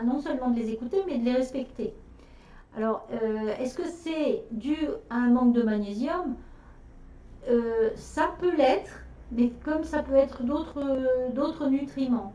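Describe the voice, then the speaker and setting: A woman's voice: high voice, dark tone, far from the mic. A middle-aged French woman talking casually on a live stream, hesitant, with many fillers and restarts. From France. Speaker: nutrition specialist hosting a live stream. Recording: echoey room, voice off-mic.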